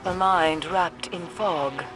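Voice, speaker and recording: A woman playing a stern, cryptic mystic in a video game, with grave, formal, deliberate phrasing. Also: fairly low pitch, over music.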